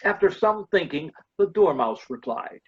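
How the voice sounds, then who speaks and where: A woman's voice: lowish voice, animated, a little slow. Woman narrator, scripted story reading, readers' theater style, dull lo-fi recording.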